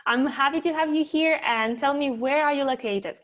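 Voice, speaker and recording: A woman's voice: high voice, normal speed, fairly bright tone. A woman hosting an interview, moving between a scripted introduction and conversational questions. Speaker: interview host.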